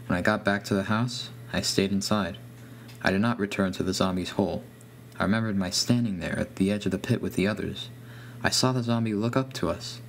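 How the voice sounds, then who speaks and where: A man's voice: lowish voice, fairly even intonation, a little fast, a little hiss or hum. A man reading a scripted first-person horror story as its narrator.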